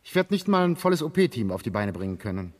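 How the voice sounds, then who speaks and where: An actor playing an overworked, weary hospital surgeon who speaks curtly and gruffly in a German radio play. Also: male voice, medium pitch, big pitch swings, quick pace. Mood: weary, blunt. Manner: curt, gruff. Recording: long reverberant hall.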